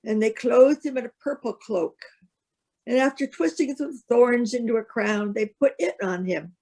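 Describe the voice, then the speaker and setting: A woman's voice: fairly high pitch, normal speed, darkish tone, poor audio. A woman reading a gospel passage aloud as scripted narration. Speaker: scripture reader.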